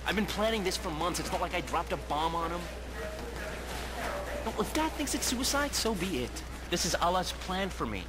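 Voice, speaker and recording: A flustered young imam. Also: male voice, high-pitched, sing-song, normal speed, very noisy. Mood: earnest. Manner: earnest, flustered, defensive. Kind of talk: sitcom acting. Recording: television soundtrack with music under.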